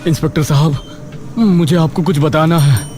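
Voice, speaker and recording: A man's voice: on the high side, fairly even intonation, moderate pace, darkish tone, soft background music, natural voice. A man voicing an earnest young man in a cartoon who pleads and pushes back against a police officer. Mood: urgent. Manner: pleading, then confrontational. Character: earnest, determined young man seeking help.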